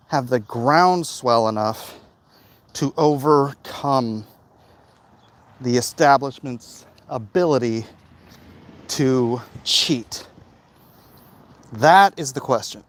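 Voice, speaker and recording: A man chatting casually and giving opinions in a halting way, as if hosting a livestream. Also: mid-range voice. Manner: halting, frequent throat clearing. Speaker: livestream host answering viewer comments.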